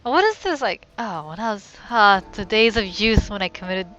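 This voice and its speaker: A woman's voice: medium pitch, lively intonation, moderate pace, a bit bright, highs cut off. A woman casually commentating on a game as she plays, muttering wry reactions. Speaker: let's-play host.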